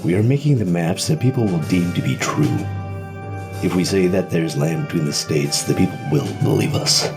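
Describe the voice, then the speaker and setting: Man as deep, gravelly, domineering government boss. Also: over music. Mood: commanding. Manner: slow, commanding, smugly assured. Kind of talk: audio drama acting.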